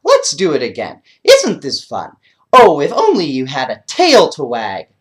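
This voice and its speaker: An excitable, happy storybook dog performed by a man, pitched high and very animated. The collie barks out words with eager, playful exclamations. The delivery is boisterous and bouncy. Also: unhurried. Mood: playful urging. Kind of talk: animated children's storybook character voice.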